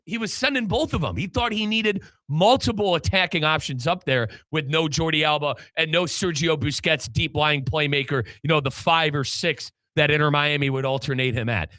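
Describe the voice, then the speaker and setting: A man's voice: fairly high pitch, sharp tone, no room sound. A man hosting a soccer talk show, giving emphatic, opinionated analysis. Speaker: sports talk show host.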